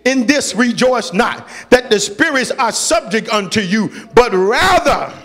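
A man's voice: extremely high pitch, lively intonation, a little slow, a bit bright. A man preaching a fiery sermon, exhorting emphatically in colloquial, repetitive phrases and quoting scripture. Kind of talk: fiery sermon with scripture readings and acted quotes.

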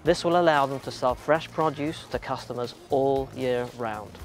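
A man with a mid-pitched voice gives a scripted investment-style promotional read as a company spokesman. Music plays behind his voice. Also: moderate pace.

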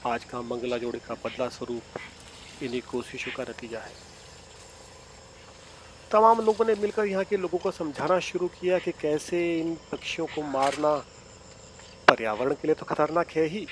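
A man giving measured, scripted documentary narration as a travel vlogger. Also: a little high, animated, background noise. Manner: measured, storytelling delivery.

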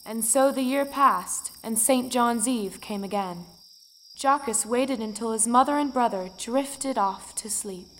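A woman narrating a folktale audio play in a dramatic, measured storytelling manner. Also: on the high side, natural voice, low-quality recording. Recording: music under the voice.

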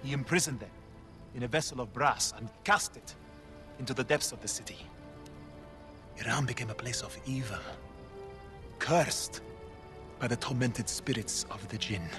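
A man voicing a grave desert sheikh, formal and deliberate. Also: medium pitch, natural voice. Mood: ominous. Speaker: video game character. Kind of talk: video game cutscene acting. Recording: game audio with music score.

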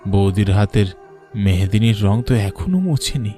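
A man's voice: on the low side, lively intonation, normal speed, mellow, faint music behind, close to an everyday voice. A man voicing a devoted younger brother who narrates a cartoon story in an emotional, literary, sorrowful way. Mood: shocked, grieving. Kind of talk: dramatic first-person cartoon narration.

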